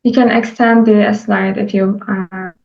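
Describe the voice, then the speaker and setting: A woman's voice: mid-range voice, level tone, unhurried, vocal fry. A woman helping out as organizer of an online session, chatting casually over a distant, muffled video call. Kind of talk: casual helpful chat.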